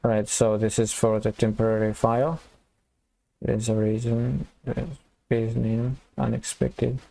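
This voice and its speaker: A man teaching a coding lesson, thinking aloud and restarting phrases as he types, his voice slightly hoarse. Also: mid-range voice, flat delivery, a little slow, warm tone, poor audio. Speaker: programming tutor. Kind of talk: casual screen-recorded coding tutorial.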